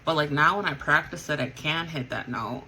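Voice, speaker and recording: A woman's voice: deep voice, normal speed, bright, forceful tone, a little hiss or hum, poor audio. A woman who sings in a band, chatting casually as she gets ready, rambling with lots of fillers and self-corrections. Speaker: vlogger and band singer. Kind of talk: casual chat while getting ready.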